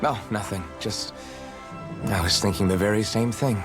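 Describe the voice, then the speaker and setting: Game role: male actor as gentle, earnest young hero, fairly low pitch. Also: normal speed, lightly acted. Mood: soft wonder. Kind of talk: video game voice acting. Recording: studio voice over game music.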